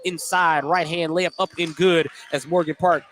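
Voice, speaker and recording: A man's voice: a little high, steady tone, moderate pace, fairly bright tone. A man giving live play-by-play radio commentary on a basketball game. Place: indoor basketball gym. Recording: noisy live gym sound.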